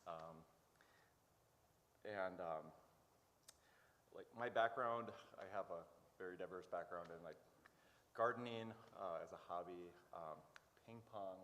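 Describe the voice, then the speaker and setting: A man being interviewed as a guest, speaking informally in a halting, hesitant way with many pauses. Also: low-pitched, a bit bright. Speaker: interview guest, church worker. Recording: echoey hall, noticeable background noise.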